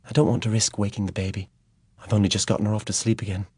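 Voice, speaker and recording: A man voicing a kind young farmer's wife, speaking softly and with concern. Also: fairly low pitch, level tone, racing, husky, no echo, voice pushed up. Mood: gentle. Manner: soft, pleading, concerned.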